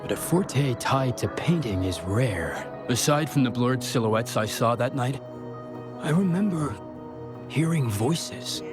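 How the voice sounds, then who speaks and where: An actor playing a helpful mine patrol guard in a video game, measured and official, with music behind him. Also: male voice, mid-range voice, lively intonation. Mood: pondering. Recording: music under the voice.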